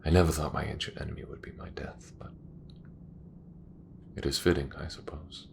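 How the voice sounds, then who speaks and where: A man playing a proud, bitter old imperial commander near death in a sci-fi audio drama, with a grave, grandiose, measured delivery. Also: level tone, in a whisper, full character voice. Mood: resigned, weary. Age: older. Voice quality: very deep, gravelly.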